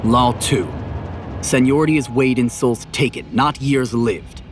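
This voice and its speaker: A man's voice: medium pitch, fairly even intonation, a little slow, natural voice. A man playing a blunt, defiant young apprentice reaper in an audio drama. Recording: music behind the voice.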